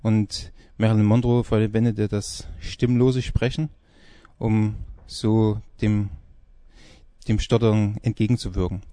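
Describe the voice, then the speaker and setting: A man presenting informally on a music radio show, halting and searching for words as he goes. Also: lowish voice, flat delivery, warm tone, no room sound.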